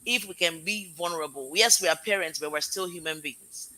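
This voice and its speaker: Woman, Nigerian accent with American edge, live-stream host, piercingly bright tone, gushing and rambling thanks. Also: fairly low pitch, brisk, poor audio. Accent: Nigerian with American mix. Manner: effusive, heartfelt, rambling thanks. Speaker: live show host, parent. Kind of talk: casual live-stream wrap-up.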